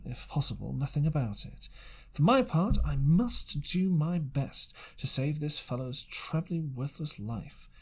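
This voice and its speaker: A man's voice: fairly high pitch, creaky, a little mellow, slightly rough, exaggerated voice. A man voicing a stern, scornful country doctor in a storybook reading, blunt and commanding. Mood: brisk, dismissive.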